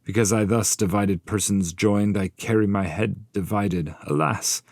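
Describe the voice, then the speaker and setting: A man's voice: lowish voice, steady tone, a little slow, a little mellow, no room sound, studio quality, pitched above the performer's own voice. A man voicing a sorrowful headless soul who carries his own talking head and declaims mournfully, in an epic poetry reading.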